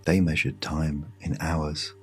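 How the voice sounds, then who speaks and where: A British man narrating a guided sleep meditation story in a hushed, soothing, measured way with long pauses. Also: a bass voice, flat delivery. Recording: music behind the voice. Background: music.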